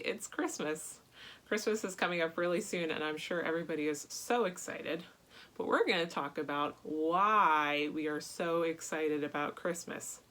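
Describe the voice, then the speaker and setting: A woman speaking to young children as a church storyteller, reading aloud and chatting in an animated way with simple questions. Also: fairly low pitch. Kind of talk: read-aloud children's Bible story and chat to kids.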